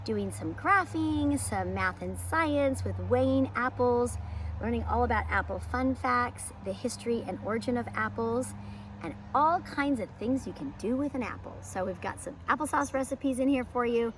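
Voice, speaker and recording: A woman presenting a children's lesson and picture book read-aloud as a homeschool teacher, with expressive, emphatic delivery aimed at young children. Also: on the high side, normal speed, a little hiss or hum, low-quality recording.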